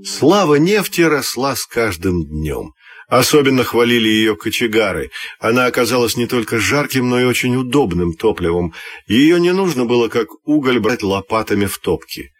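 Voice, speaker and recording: A man reading a scripted children's fairy tale as an expressive, measured storyteller. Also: mid-range voice, no echo.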